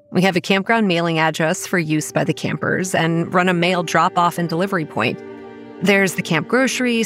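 An actress playing a wry, weary young campground manager who narrates a horror audio drama in a measured, dry way. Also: female voice, lowish voice. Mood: wry, reflective. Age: young adult. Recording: music under the voice.

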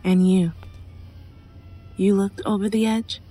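A woman's voice: mid-range voice, flat delivery, takes it slowly, dark tone, breathy edge, soft background music. An actress playing a guarded, solitary woman in an audio horror drama, dry and sceptical yet curious, hesitant when pressed. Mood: uneasy, curious.

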